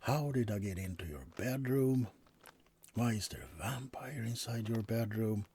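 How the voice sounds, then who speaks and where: A man playing a suave, teasing vampire in a soft, often whispered bedside ASMR roleplay, murmuring with dramatic pauses. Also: lowish voice, slightly rough. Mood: playful, theatrical.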